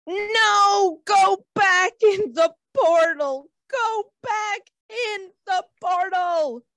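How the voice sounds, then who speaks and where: A woman voicing a small, timid, childlike talking shrub in an animated fantasy table read. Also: very high voice, drawn-out words, dry recording, full character voice. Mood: scared. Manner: childlike, fearful.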